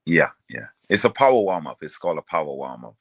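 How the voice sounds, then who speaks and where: A man's voice: a bass voice, sing-song, fast talker, dry recording. A man from Saint Vincent and the Grenadines with a Caribbean accent, chatting casually as an interview guest. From Union Island, Saint Vincent and the Grenadines. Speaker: guest, singer and musician.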